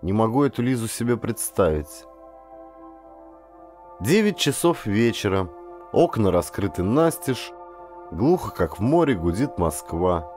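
Male audiobook narrator, lowish register, highly varied intonation, deliberate dramatic storytelling, faint music bed. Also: very animated, a little mellow. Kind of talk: expressive first-person literary audiobook narration.

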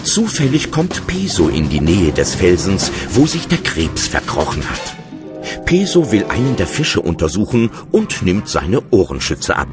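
A man's voice: deep voice, wildly swooping pitch, measured pace, exaggerated voice. A man narrating a scripted children's sea adventure as a storyteller. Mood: storytelling. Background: loud music.

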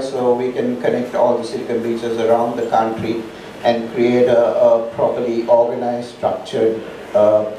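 A man's voice: medium pitch, dark tone, a little hiss or hum. A man hosting a startup meetup and making announcements, with a rambling manner and frequent restarts. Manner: rambling, restarts often, enthusiastic. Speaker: meetup organizer and host. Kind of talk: live event announcements. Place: large dark event hall, at a lectern. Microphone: handheld mic through PA, filmed from far. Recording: echoey hall, distant camera.

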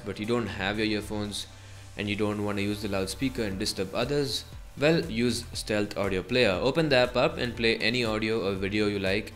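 A man's voice: lowish voice, a bit bright. A man presenting a tech video as a scripted voice-over, reviewing in a narrator's style. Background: background music.

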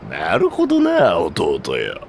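A man's voice: low voice, wildly swooping pitch, takes it slowly, slight background noise, full character voice. A man voicing an arrogant monstrous reptilian elder brother in a game, sneering with theatrical mock politeness. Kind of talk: video game cutscene acting.

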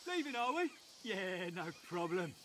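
An actor playing a manic, party-loving British DJ in a video game, rambling away in slang. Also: male voice, sits high, sing-song, measured pace, pushed and bright, a little hiss or hum, exaggerated voice. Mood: chatty, wistful. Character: manic hedonistic party-loving male DJ, chatty, unrepentant.

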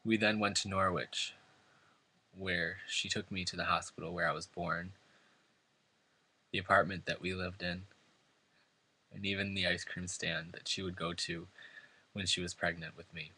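A man's voice: sits low, flat delivery, normal speed, long pauses, sharp tone, breathy edge, rough recording. A man from New York State reading a prepared eulogy at a funeral, earnest and emotional. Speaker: son giving a eulogy. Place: funeral service before family and friends.

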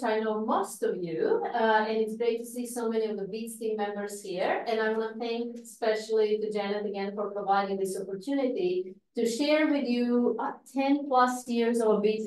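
A woman's voice: on the high side, a little hiss or hum. Roomy, distant woman giving a seminar. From Croatia. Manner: lively, with light laughs and frequent fillers. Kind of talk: academic seminar talk. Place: seminar room. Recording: echoey room, speaker far from mic.

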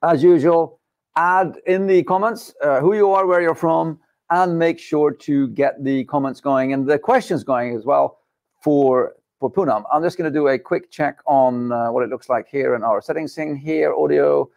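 A middle-aged man with a somewhat high voice hosts a live studio interview show. He talks in an upbeat, chatty way with joking asides. His voice sounds dry and close, with no room echo. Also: normal speed, creaky, a little mellow. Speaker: podcast host. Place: studio set with dark backdrop.

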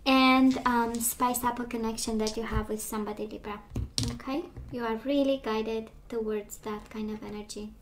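Woman, tarot reader, voice giving out, fairly high, flat intonation, chatty, lots of fillers. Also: noisy background. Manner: chatty, reassuring, many fillers and restarts. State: losing her voice, clearing throat. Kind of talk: casual tarot reading to camera. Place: indoors at a table of tarot decks.

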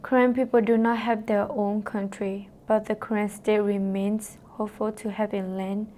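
A woman's voice: mid-range voice, moderate pace. Earnest teenage girl with a Karen accent. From Thailand. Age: teens. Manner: steady, earnest reading. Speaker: narrator. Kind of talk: scripted personal narration. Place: voice-over.

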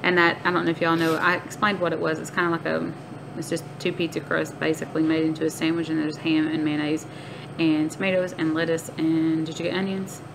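A woman's voice: low-pitched, level tone, fairly quick, creaky. A woman, chatting casually to viewers like a vlogger, recorded on a phone. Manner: chatty, informal, addresses viewers as guys. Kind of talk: casual vlog chat. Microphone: phone at arm's length. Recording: noisy amateur phone video.

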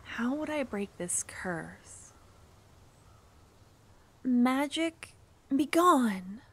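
A woman performs a shy, lonely young witch with a fairly high, breathy voice. She talks slowly and tenderly in an ASMR fantasy roleplay, hesitating and slipping toward whispers. The close-mic recording carries some background noise. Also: animated, full character voice. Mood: gentle, determined. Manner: soft, breathy, hesitant, often dropping to a whisper. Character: shy, kind-hearted, lonely young witch, rambling and smitten. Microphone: close ASMR microphone.

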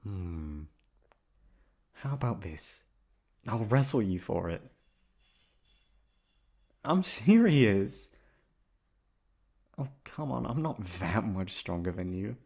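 A man playing an affectionate, teasing young boyfriend in a roleplay audio, coaxing playfully with drawn-out words. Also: lowish voice, very animated, darkish tone, slightly rough, heavily acted. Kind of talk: roleplay ASMR audio acting.

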